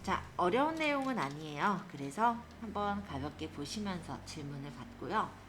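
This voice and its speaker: A woman instructor giving a polite, step-by-step spreadsheet software tutorial, guiding listeners through each action. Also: fairly low pitch, animated, moderate pace, a little hiss or hum. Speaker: computer skills instructor.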